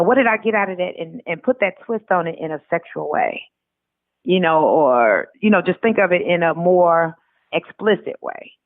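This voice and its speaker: A woman's voice: on the low side, dry recording. A woman author speaking informally as a guest, recorded in dull lo-fi audio with music behind her. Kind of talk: reading aloud and informal interview talk.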